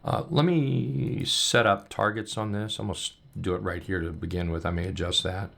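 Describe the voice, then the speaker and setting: A man coaching on trading, talking loosely and upbeat with many ums and restarts as he walks through charts, his voice going hoarse. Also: deep voice, steady tone, normal speed, fairly bright tone. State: losing his voice. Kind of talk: casual chart walkthrough.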